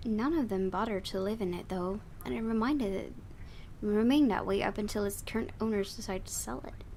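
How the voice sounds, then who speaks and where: A woman reading a visual novel game aloud in an expressive storytelling style, with her own asides. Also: mid-range voice, brisk, creaky, a little hiss or hum.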